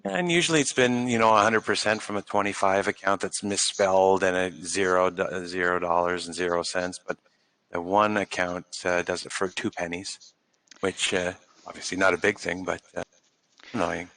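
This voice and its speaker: A man's voice: low-pitched, steady tone, moderate pace, a bit bright, no room sound. A man chatting casually on a voice call in a laid-back, mildly annoyed way. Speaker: participant. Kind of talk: casual chat.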